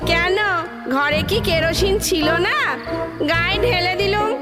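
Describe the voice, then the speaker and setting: A woman's voice: extremely high pitch, a little slow. A woman playing a shrewish, vengeful ghost wife who taunts and scolds in a comic audio drama. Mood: taunting, menacing. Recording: long hall reverb, loud music underneath.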